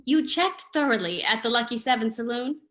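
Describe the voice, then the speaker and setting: A woman's voice: fairly high pitch, lively intonation, a little fast, a bit bright. A woman playing a sleuth's anxious, inquisitive companion in an old-time radio drama, earnest in manner. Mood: worried. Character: sleuth's anxious, questioning female companion Margot.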